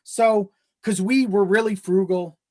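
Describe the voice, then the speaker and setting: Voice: medium pitch, fairly even intonation, measured pace, mellow. A young adult talking casually and candidly in a rambling way, with casual swearing. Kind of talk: casual chat. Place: home office in a small self-built shed. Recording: slightly echoey unpadded shed.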